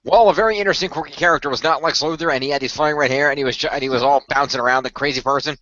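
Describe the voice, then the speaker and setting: A man's voice: fairly high pitch, rapid-fire, sharp tone, muffled recording. A man co-hosting a podcast, chatting casually as he goes through the news. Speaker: podcast co-host.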